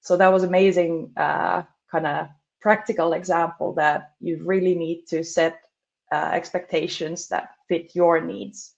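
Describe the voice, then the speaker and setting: A woman from Finland, a customer success specialist, giving a measured webinar presentation with frequent "uh" fillers. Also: on the low side, fairly even intonation, gravelly, muffled recording.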